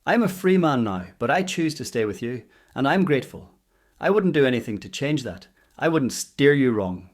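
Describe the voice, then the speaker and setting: Guy playing a loyal irish servant, earnest and plain spoken, colonial history podcast drama, mid pitch. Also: big pitch swings, moderate pace. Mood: earnest, grateful.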